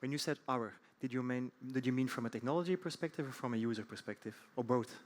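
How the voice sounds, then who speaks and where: A man's voice: medium pitch, fast talker. A man moderating a panel discussion, hesitant, with many ums and restarts as he puts his questions.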